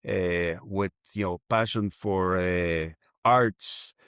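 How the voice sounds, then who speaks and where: Guest: man, Romanian tongue, low smooth voice, dry close sound, reflective and unhurried. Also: fairly bright tone, no echo. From Mexico. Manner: reflective, unhurried, pauses to find words. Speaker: podcast guest. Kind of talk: conversational interview storytelling.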